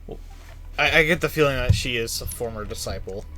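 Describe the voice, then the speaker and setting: A man's voice: mid-range voice, fairly quick, pushed and bright, faint music behind. A man giving casual live game commentary as a streamer, musing aloud and rambling.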